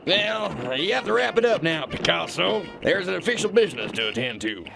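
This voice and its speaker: An actor playing a pompous, folksy, bullying kingpin boss who boasts in a drawl, in a satirical radio drama. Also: male voice, high-pitched, very animated, a little fast, creaky, music in the background, heavily acted. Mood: impatient, gruff.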